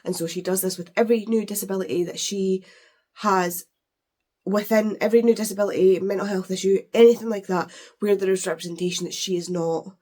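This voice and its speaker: A woman with a hoarse, congested voice from a cold, chatting casually as a book vlogger unboxing a delivery. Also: medium pitch, steady tone, fairly quick, darkish tone. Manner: chatty, rambling with fillers and restarts. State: congested, losing her voice from a cold.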